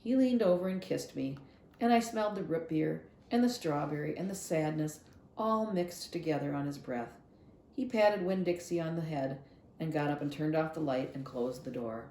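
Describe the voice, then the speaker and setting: Mellow, creaky woman reading a story aloud. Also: deep voice, normal speed, echoey room, a little hiss or hum. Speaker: library read-along reader. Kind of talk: expressive storybook narration.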